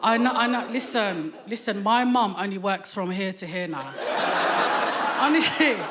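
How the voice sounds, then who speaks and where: A woman's voice: mid-range voice, expressive, normal speed. A woman doing a cheeky, brash stand-up set on a theatre stage, full of street slang. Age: 40s. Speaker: stand-up comedian. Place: theatre stage with live audience. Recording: loud audience laughter and noise.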